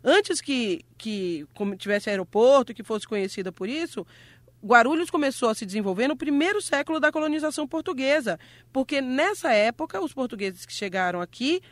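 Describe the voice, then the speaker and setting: A woman's voice: high voice, expressive, a little fast, dry recording. A woman from Rio de Janeiro who grew up in Salvador, hosting a cheerful chat and explaining local history, laughing often. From Rio de Janeiro, raised in Salvador. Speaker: host. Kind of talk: light educational radio chat.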